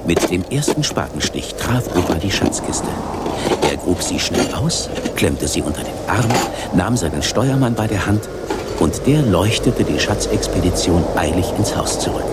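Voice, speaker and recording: A man reading scripted narration for a children's story. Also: deep voice. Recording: studio narration under loud music. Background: loud music.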